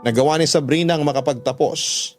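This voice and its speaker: A man's voice: fairly high pitch, fairly quick, soft background music. A man with a Filipino accent narrating a first-person confessional story for radio, earnest and sorrowful. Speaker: radio drama narrator reading a letter sender's story.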